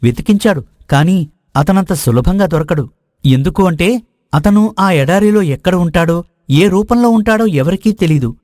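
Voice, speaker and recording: A man acts out a prince's companion in a storybook drama. He is a knowing, eager tale-teller with a notably high male voice, recorded dry and close. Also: expressive, moderate pace, a little mellow, no room sound. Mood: intriguing, explanatory. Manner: explanatory storytelling. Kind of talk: dramatized moral story dialogue.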